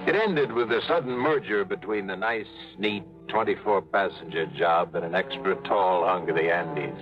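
Dry, world-weary American pilot-hustler, lowish, lively delivery, dull old radio sound under music. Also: male voice. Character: cynical American charter pilot, wisecracking hustler. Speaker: narrator and lead. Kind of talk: 1950s radio drama acting.

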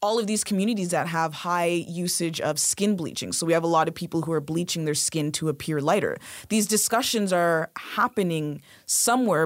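A woman's voice: on the low side, no echo, studio quality. A woman interview guest, talking in a direct, emphatic way. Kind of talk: interview conversation.

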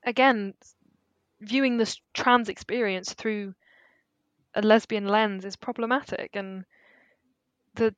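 A woman's voice: mid-range voice, steady tone, no room sound, dull audio. A woman co-hosting a podcast, chatting emphatically and casually about films. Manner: chatty, emphatic, quick to laugh. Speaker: podcast co-host. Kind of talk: casual film discussion.

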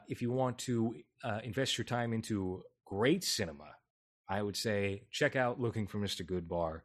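A man hosting a casual film podcast, giving sardonic, film-buff recommendations. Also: low-pitched. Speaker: podcast host.

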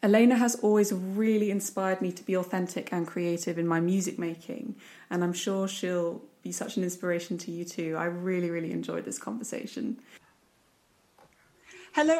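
A woman's voice: mid-range voice, normal speed, a little mellow. A woman hosting a podcast, reading a scripted intro and then chatting with a guest in an eager, enthusiastic way. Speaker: podcast host.

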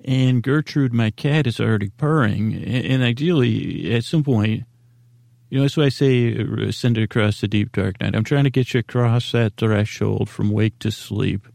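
A man hosting a sleep podcast, rambling in a meandering, halting way with a goofy, self-deprecating streak. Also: on the low side, gravelly, darkish tone, no echo, studio quality. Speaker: podcast host.

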